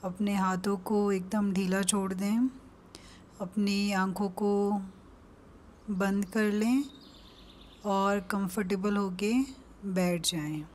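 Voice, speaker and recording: A woman with an Indian accent leading a guided meditation, giving calm, gentle instructions with long pauses between them. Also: medium pitch, a little hiss or hum.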